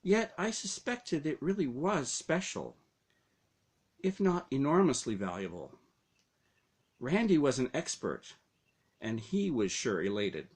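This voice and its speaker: Slow, expressive male storyteller. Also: medium pitch, slightly rough. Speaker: author reading own story. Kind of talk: scripted first-person story narration.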